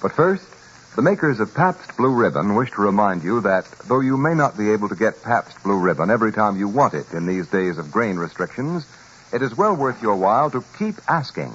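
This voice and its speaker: A man's voice: mid-range voice, a bit bright. A man announcing on 1940s radio, reading sponsor copy in a formal, polished, emphatic style. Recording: muffled, faintly noisy vintage radio recording.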